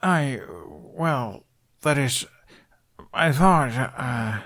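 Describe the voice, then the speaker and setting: An actor playing an awkward young man, stammering and excitable, with a wry, sarcastic streak. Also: mid-range voice, drawn-out words, heavily acted. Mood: flustered.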